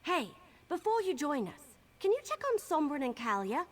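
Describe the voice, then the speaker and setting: Bubbly young elf heroine for a fantasy game: high-pitched, animated, cheerful and enthusiastic. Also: female voice, moderate pace. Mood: friendly. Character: eager, cheerful young female wood elf adventurer. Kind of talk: video game character acting.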